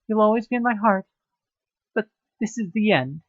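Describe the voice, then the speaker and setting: A man's voice: high-pitched, lively intonation, moderate pace, dry recording, highs cut off, pitched above the performer's own voice. A man voicing an earnest woman reading out her sorrowful, heartfelt breakup letter in an audio drama. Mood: earnest, regretful.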